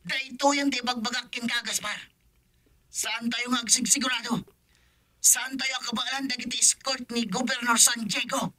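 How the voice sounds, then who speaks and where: A man's voice: very high-pitched, steady tone, normal speed, pushed and bright, exaggerated voice, poor audio. An actor playing Edong, a frightened accomplice in a radio drama who urgently warns his partner to flee. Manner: urgent, insistent warnings. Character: Edong, frightened male accomplice urging escape.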